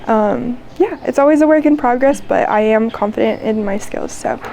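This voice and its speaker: A woman's voice: on the high side, normal speed, mellow, slight background noise. A young American woman in her twenties, answering interview questions in a casual, hesitant way, with lots of ums and likes. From United States. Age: 20s. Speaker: interview guest.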